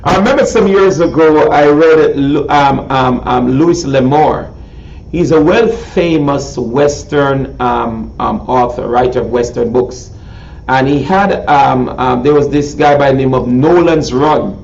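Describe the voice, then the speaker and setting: Man, Jamaican accent, middle-aged, show host, halting with ums, echoey, distant. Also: medium pitch, creaky, darkish tone. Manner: halting, many ums and repeats. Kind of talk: casual interview. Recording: echoey distant lo-fi video call.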